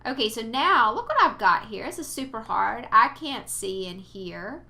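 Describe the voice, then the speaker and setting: Woman, casual tutorial host, bright sound, sniffly, chatty and rambling. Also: on the high side, big pitch swings, moderate pace, creaky. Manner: chatty, rambling, with fillers and cute exclamations. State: sniffly from allergies. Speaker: 3D modeling tutor. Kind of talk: casual screen-recorded tutorial.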